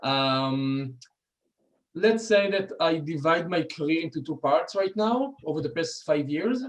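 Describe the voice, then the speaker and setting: A middle-aged man with an Israeli accent, giving an informal talk with slides in a halting way, with many pauses and restarts. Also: fairly high pitch, expressive. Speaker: guest speaker.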